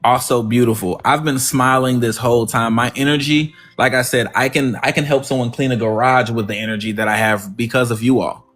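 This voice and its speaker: A man's voice: medium pitch, steady tone, fairly quick, hardly any pauses. Effusive man thanking his listeners. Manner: warm and effusive, repeats words of thanks. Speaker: livestream host. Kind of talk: casual positive-affirmation talk.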